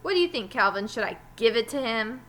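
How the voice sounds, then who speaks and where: A woman voicing a teasing, unhurried man who supervises recess in a children's storybook. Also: on the high side, animated, fairly bright tone, slight background noise, poor audio. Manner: teasing, drawn-out questioning.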